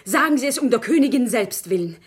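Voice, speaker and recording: An actress playing a humble, fervent commoner wife who pleads earnestly in formal diction, in a classic German radio play. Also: female voice, fairly high pitch, lively intonation, quick pace, husky.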